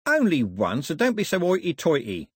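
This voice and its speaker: Male narrator as villainous sailor, mock-jolly, boastful, jeering, animated pitch, storybook. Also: normal speed, husky, no room sound, clean studio sound, exaggerated voice. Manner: theatrical, jeering, colloquial patter. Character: swaggering villainous sailor, mockingly cheerful murderer turned king.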